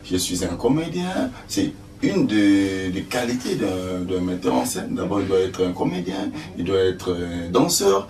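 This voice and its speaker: An older man from Congo, an actor and stage director answering interview questions slowly with many fillers. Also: deep voice, expressive, a little mellow. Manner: slow, hesitant, many fillers. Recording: echoey room, distant mic, faint noise.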